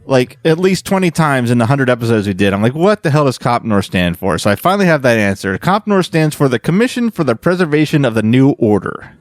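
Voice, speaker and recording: A man's voice: medium pitch, very animated, brisk, no room sound, clean studio sound. A man hosting a podcast, explaining his research in casual, informal chat with a bit of swearing. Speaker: podcast host presenting research.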